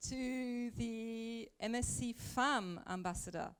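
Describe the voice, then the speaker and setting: A woman presenting at a graduation ceremony, making friendly, clear announcements. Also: fairly high pitch. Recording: hall microphone with music behind.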